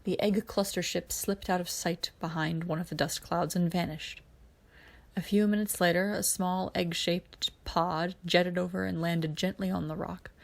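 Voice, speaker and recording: Creaky-voiced woman narrating a story. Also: on the low side. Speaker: podfic narrator reading fan fiction.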